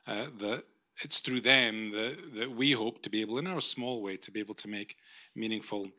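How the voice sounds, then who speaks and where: Halting man with a handheld mic. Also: on the low side, bright, forceful tone. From not Australia. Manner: hesitant, many ums and restarts. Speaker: university vice-chancellor. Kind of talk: formal vote of thanks speech. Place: lecture room, indoors. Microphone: handheld microphone.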